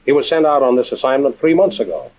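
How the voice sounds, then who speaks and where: An actor playing a composed, authoritative intelligence chief and adventure storyteller, measured and dry, in a vintage radio drama with tinny sound. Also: male voice, mid-range voice, big pitch swings, close to an everyday voice. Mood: brisk, businesslike. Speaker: host and narrator-character. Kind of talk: old-time radio adventure drama acting.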